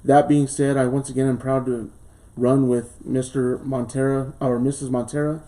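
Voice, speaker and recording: A man in his late thirties, a school board candidate from southern Colorado, reading a formal speech and answering questions earnestly, with pauses and fillers, in a small studio. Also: medium pitch, level tone, warm tone. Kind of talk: prepared campaign speech and interview answers.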